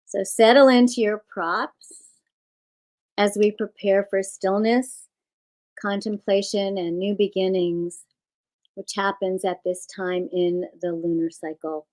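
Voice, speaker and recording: A woman teaching yoga nidra, giving guided relaxation instructions in unhurried phrases with pauses. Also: mid-range voice, fairly even intonation, a little mellow, rough recording.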